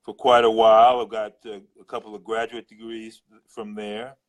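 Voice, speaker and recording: An older man from New City, a guest in a casual interview, speaking haltingly with many hesitations. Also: lowish voice, level tone. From New York City.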